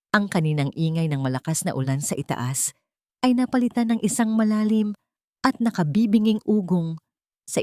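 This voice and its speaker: A woman narrating a dramatic bedtime story in a steady, grave, scripted way. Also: sits low, no room sound, studio quality.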